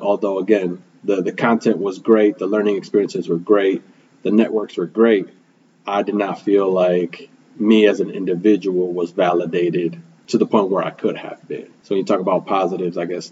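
A young man from Milwaukee whose first language is Spanish answers interview questions in an earnest, reflective way, often pausing on "um" and checking in with "right?". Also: deep voice, dark tone, highs cut off, a little hiss or hum. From Milwaukee, Wisconsin, USA. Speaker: guest, school program manager.

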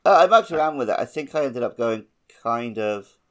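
A man's voice: medium pitch, expressive, fairly quick. A man co-hosting a gaming podcast in casual conversation. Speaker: podcast co-host.

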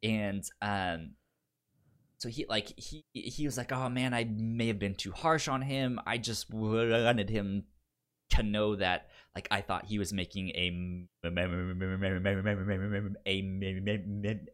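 A man's voice: on the low side, steady tone, slow pace, a bit bright, smooth. Man with a stutter chatting about film. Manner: stutters, with repeated sounds and blocks. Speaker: podcast host. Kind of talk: casual film discussion.

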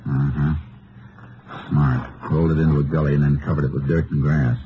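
A muffled old radio recording with clear noise carries a stern western lawman. His voice is very deep. He speaks in terse, matter-of-fact phrases, like a working investigator in a radio crime drama. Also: level tone, fairly quick. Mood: businesslike. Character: laconic Texas lawman investigator on horseback, stern and steady. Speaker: lead actor and narrator.

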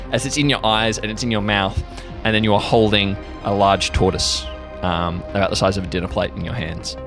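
A man's voice: on the low side, fairly even intonation, moderate pace, few pauses, music in the background. A man narrating an improvised audio drama in a casual, descriptive way, making it up as he goes. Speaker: game-master style narrator.

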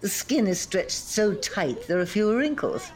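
A woman's voice: mid-range voice, animated, moderate pace, slight background noise, natural voice. A woman playing a pious, serene elderly friend in an audio play, sweetly polite with dry retorts. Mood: sweetly cutting. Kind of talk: scripted two-hander audio comedy-drama.

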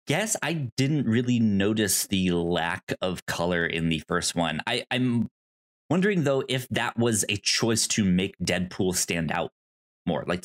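A man co-hosting a podcast in casual film discussion, halting and repeating words as he thinks aloud. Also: sits low. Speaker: podcast co-host.

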